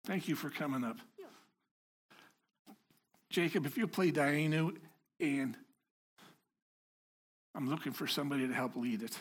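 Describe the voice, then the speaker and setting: Husky man preaching conversationally. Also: on the high side, a little slow, pauses often. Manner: conversational, self-deprecating, joking with the congregation. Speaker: lay preacher. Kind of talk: informal sermon. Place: house of worship before a congregation.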